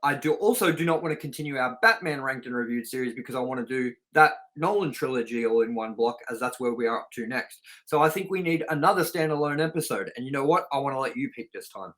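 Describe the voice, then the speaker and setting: A man's voice: mid-range voice, fast, hardly any pauses, fairly bright tone. A man co-hosting a movie review show in casual, unscripted chat, talking informally and straight to the audience. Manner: informal, chatty, addresses viewers directly.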